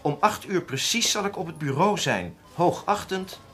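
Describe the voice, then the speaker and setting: An actor playing a sceptical young police detective, an eager sidekick in a radio drama, animated and quick to protest. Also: male voice, a little high, soft background music. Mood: mocking disbelief. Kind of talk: Dutch radio drama acting.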